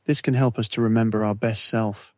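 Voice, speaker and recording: A man with a fairly low voice leads a guided meditation. His intonation stays very flat and steady, and he sets out each phrase deliberately, with soothing pauses. The recording is dry and close, free of room sound. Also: no room sound. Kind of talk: guided meditation, scripted narration.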